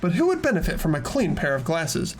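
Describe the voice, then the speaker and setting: An earnest teenage student detective from a video game, acted out by a fan performer. The voice swings lively in pitch while deliberately explaining evidence, with music playing underneath. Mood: earnest, insistent. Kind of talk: scripted game dialogue read aloud by fans. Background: background music.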